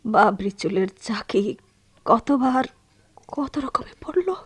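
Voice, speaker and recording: A grieving, wistful village wife in a radio drama, with a rural Bangladeshi accent. She speaks slowly in a fairly high, husky voice, halting and slipping toward whispers as she broods. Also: animated, full character voice. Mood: wistful, brooding. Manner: halting, breathy, drifting into whispers.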